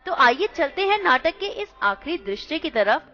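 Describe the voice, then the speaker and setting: Expressive high-voiced woman narrator. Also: normal speed. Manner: addresses listeners directly, expressive. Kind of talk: radio play narration. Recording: muffled recording with music behind.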